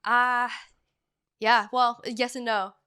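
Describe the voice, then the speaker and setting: A woman's voice: a little high, level tone, slow pace, sharp tone, clean tone, no room sound, studio quality. A woman in her thirties, stuffy from a bad cold, co-hosting a podcast in a chatty, joking way that is full of laughter. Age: 30s. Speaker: podcast co-host. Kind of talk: casual chat.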